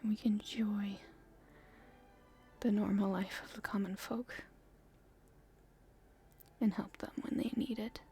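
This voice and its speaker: A very breathy, whispery young princess, acted by a woman, speaks close to her beloved in an ASMR romance. She is tender and teasing, with a soft, mellow tone and an unhurried, halting pace. Music plays behind her. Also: medium pitch, flat delivery. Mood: soft, confiding. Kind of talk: intimate ASMR romance roleplay to the listener.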